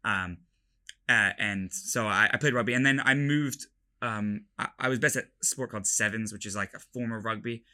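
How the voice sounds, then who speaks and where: Young guy, american accent w/ bit of british, lowish voice but super crisp and sharp, rattles along casually. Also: moderate pace. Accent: American with slight British traces. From London, England. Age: young adult. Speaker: college football player, interview guest. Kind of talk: casual chat.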